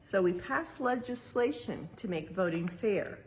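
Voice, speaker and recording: A woman's voice: mid-range voice, expressive, unhurried, a little mellow, slight background noise, low-quality recording. A woman with an American accent reading a picture book aloud and explaining it simply for young listeners as a museum educator. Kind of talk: read-aloud with explanatory asides.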